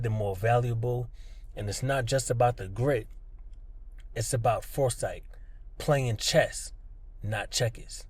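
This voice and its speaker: Husky-voiced man giving a motivational talk. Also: mid-range voice, darkish tone, no echo. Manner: earnest, plainspoken, emphatic, addresses listener directly. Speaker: podcast host, freelancer. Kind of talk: motivational podcast monologue, conversational.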